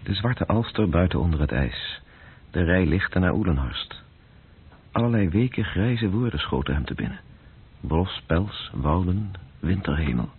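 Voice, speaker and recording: A grave literary narrator in a radio drama. The voice is deep and very raspy, reading scripted prose. The sound is muffled, like an old broadcast. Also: male voice, expressive, measured pace, exaggerated voice. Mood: sombre, observant. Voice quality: very husky, deep. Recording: dull, lo-fi old radio recording.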